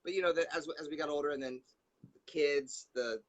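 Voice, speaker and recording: A man from Florida, a martial arts instructor, talking easily as a guest in a casual conversation, often pausing with fillers. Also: on the high side, low-quality recording. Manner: easygoing, hesitant, many fillers.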